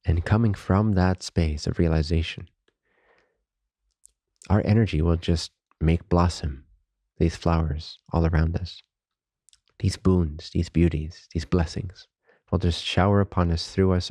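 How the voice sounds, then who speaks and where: A man leading a guided meditation as a teacher, speaking softly, slowly and calmly in reverent phrases with long pauses. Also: sits low, no room sound. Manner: calm, unhurried, reverent spiritual phrasing.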